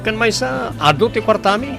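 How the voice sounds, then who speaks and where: A man's voice: sits high, lively intonation, moderate pace, vocal fry, music in the background. A man acting in an Ilocano audio drama.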